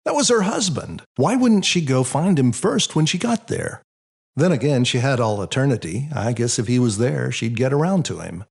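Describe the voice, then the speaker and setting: A man narrates a first-person audiobook in an expressive, reflective way. His voice is husky and mid-pitched, with lively movement up and down as he tells the story. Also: moderate pace. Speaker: author reading his own story.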